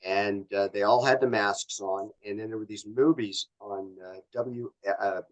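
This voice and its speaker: A middle-aged North American man with a fairly low voice. He talks as an executive guest in a casual interview, explaining things in a rambling, colloquial way. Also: steady tone, a little slow, rough recording. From born in Canada, grew up in Los Angeles.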